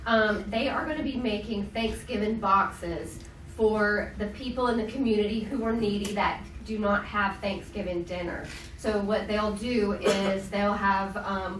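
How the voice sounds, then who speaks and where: A woman giving community announcements, nasal from a cold and halting, with many ums. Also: medium pitch, fairly bright tone, slight background noise. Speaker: resident life staff. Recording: echoey room from far off.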